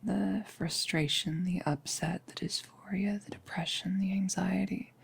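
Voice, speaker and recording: A woman playing a nervous, chatty girlfriend in an intimate ASMR roleplay, rambling and confiding with hesitant pauses. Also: medium pitch, fairly even intonation, gravelly, a little hiss or hum. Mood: vulnerable, reflective. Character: nervous, chatty girlfriend about to become a vampire. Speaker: audio roleplay performer.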